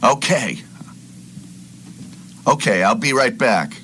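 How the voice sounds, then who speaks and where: A man's voice: medium pitch, animated, takes it slowly, bright, forceful tone. A man playing a falsely reassuring captor in an amateur drama recording. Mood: helpful. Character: man feigning calm reassurance to frightened captive. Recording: slightly noisy amateur room recording.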